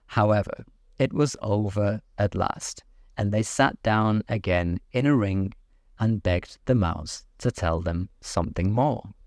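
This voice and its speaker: A man narrating a children's bedtime story in a soothing, measured, expressive way. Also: fairly low pitch, no echo, clean studio sound.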